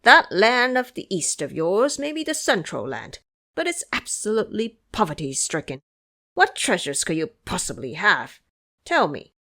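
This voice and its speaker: A woman's voice: medium pitch, big pitch swings, normal speed, bright, forceful tone. A woman playing a haughty, hot-tempered young crown prince in an audio drama, speaking in an imperious, formal way. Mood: scornful.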